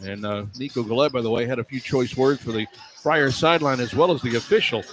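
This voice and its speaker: A man's voice: medium pitch, fast, fairly bright tone. A man working as a radio play-by-play announcer, calling live basketball in clipped running phrases from a courtside spot. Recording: live arena broadcast, clear background noise. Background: arena ambience and noise.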